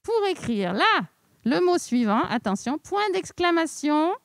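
A woman presents a casual live show with a fairly high voice. She banters playfully with her co-host. She speaks through a mask into a handheld mic, with very little room sound. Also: big pitch swings, a little slow, creaky, no echo, clean studio sound. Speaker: co-host, librarian. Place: small decorated indoor stage set. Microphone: handheld microphone, speaking through a face mask.

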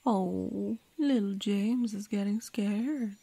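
A woman plays a sly female warrior in an audio roleplay. Her husky voice crackles with fry as she taunts sardonically, recorded very dry. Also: medium pitch, takes it slowly, mellow, no echo. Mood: gloating. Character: sly, mocking female warrior squad member.

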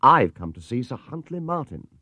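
A man's voice: medium pitch, very animated, normal speed, sharp tone, slightly rough, no room sound, voice pushed up, heavily acted. A man voicing a small, polite young bear who speaks earnestly and hopefully.

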